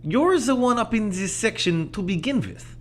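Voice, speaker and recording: A man's voice: high voice, lively intonation, normal speed. A man playing a genial little old man who loves the paranormal, speaking in an erudite, musing, theatrical way in an audio drama. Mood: eager, playful. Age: older.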